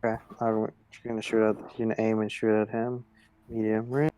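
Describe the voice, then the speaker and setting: A man's voice: on the low side, completely flat delivery, moderate pace, mellow, smooth. A man playing a tabletop game, chatting casually in a matter-of-fact way.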